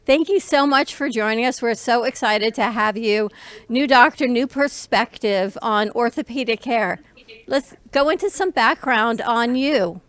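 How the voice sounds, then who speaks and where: A woman's voice: fairly high pitch, unhurried, fairly bright tone, no room sound. A woman hosting a health talk show with an upbeat, polished presenter delivery. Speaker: show host.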